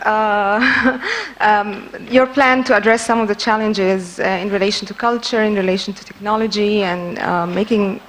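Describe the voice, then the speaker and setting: A woman in the audience asking a long formal question at a panel, pausing often with fillers. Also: medium pitch, fairly even intonation, fairly bright tone, poor audio. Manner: formal, hesitant with many fillers.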